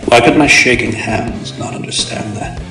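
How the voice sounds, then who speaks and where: A man's voice: medium pitch, pushed and bright, reverberant, distant mic, faint music behind, lightly acted. A man voicing a proud, brooding, vengeful supervillain who recounts his youth in grave, formal narration for a comic dub. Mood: grim, haunted. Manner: grave, deliberate, formal, theatrical.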